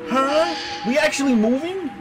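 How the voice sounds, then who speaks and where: A man giving excitable, slangy let's-play commentary, exclaiming often and reading game text aloud, with game music behind him. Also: extremely high pitch, moderate pace. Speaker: gaming video host.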